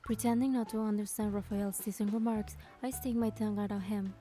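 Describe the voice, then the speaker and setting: A woman playing a playful young heroine in a game, narrating softly in the first person. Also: mid-range voice, fairly even intonation, fairly quick, natural voice. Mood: calm narrating. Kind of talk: scripted first-person narration with character dialogue. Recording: studio voice over clear background music. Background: music.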